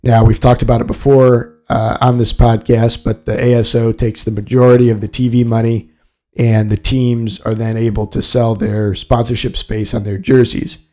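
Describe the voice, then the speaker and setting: A man's voice: medium pitch, little pitch movement, moderate pace, mellow. A man hosting a solo sports business podcast, explaining things in a matter-of-fact way. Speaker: podcast host.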